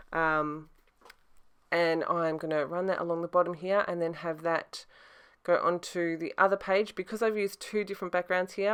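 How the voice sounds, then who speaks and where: Woman, home crafter voice-over; low-ish, clear, slightly scratchy, casual and hesitant. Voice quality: soft-spoken, hesitant with many fillers. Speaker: scrapbooking crafter.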